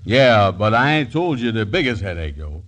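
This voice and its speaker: A slow-witted radio comedy schemer who always seems to be in a fix. His voice sits low, with a folksy drawl and mangled big words. It comes from an old 1940s radio broadcast. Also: sing-song, a bit bright. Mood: flustered.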